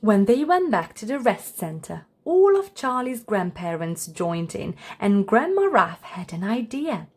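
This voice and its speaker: A woman's voice: medium pitch, big pitch swings, measured pace, hardly any pauses. A woman storyteller reading a children's book aloud as scripted narration.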